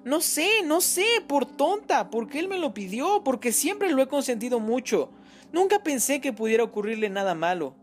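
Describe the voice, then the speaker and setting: A man plays a frantic middle-aged mother in a storybook reading, her voice pushed very high and swinging wildly with tearful, self-blaming pleas. Faint music sits behind her. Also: big pitch swings, normal speed. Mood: distraught. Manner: tearful, pleading, breathless outbursts.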